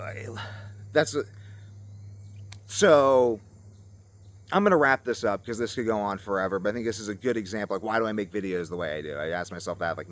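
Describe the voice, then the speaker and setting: A man's voice: lowish voice, a little hiss or hum. A man vlogger talking off the cuff in a casual monologue, rambling as he thinks aloud. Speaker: YouTube vlogger.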